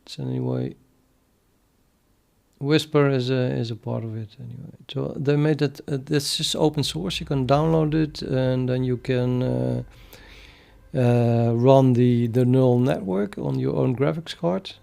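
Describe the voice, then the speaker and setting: A man with a Dutch accent chatting casually on a live programming stream, thinking aloud and halting with many fillers. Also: mid-range voice, vocal fry, warm tone. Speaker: programming livestreamer.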